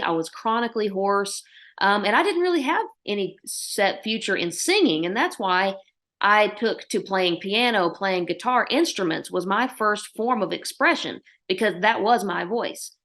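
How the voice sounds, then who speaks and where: A woman with an Oklahoma twang, telling stories about her life with animated swings in her voice. She is in her thirties and talks in a folksy, expressive way, as a musician being interviewed. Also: medium pitch. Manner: lively, warm storytelling with folksy phrasing. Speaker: country musician guest. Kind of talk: interview answers.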